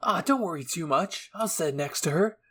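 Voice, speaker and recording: Man acting out an eager woman scientist, breezy, scheming, raised pitch, anime story role. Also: expressive, moderate pace, a bit bright.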